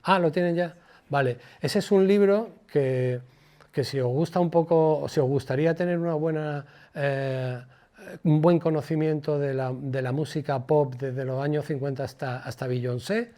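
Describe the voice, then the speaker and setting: An older man with a Spanish accent from Spain gives an informal lecture, colloquial and digressive, halting and repeating words as he goes. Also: on the high side, steady tone, mellow. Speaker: guest lecturer, former radio presenter. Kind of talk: informal public lecture with personal anecdotes.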